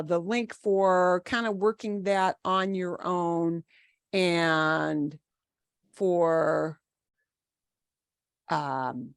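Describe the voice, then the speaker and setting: A woman's voice: mid-range voice, a bit bright, no echo, studio quality. A woman teaching a live online language class over a video call, explaining casually with fillers.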